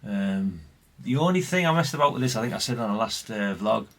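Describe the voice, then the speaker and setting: A man's voice: fairly low pitch, expressive, brisk, fairly bright tone. A man, chatting casually and ramblingly as he presents old radio gear in a vlog. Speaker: vlogger showing vintage CB radios. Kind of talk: casual, unscripted chat.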